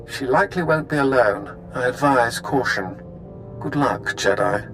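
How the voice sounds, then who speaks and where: A businesslike male informant from a space fantasy game, formal and cautious. His mid-pitched voice sits over clearly audible music. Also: expressive. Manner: measured, formal. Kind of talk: video game dialogue acting. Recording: music behind the voice.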